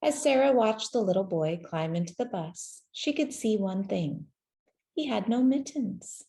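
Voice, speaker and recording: A woman narrates a children's picture book aloud. Her voice is mellow and relaxed, sitting at an average pitch. She takes her time, measuring out each phrase gently and leaving deliberate pauses. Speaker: storybook reader. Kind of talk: scripted children's story narration, read aloud.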